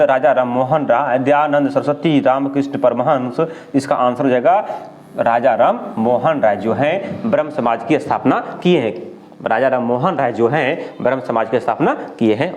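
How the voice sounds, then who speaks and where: A man with a Bihari accent teaching an exam lesson, reading out questions and answers didactically and repeating himself with quick check questions. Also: mid-range voice, darkish tone. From India. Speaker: history teacher.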